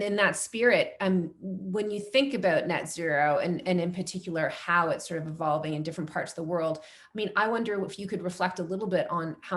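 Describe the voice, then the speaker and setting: A woman hosts a casual interview with an enthusiastic, wordy manner. She leans on fillers and builds long questions in stages. Her voice sits slightly low.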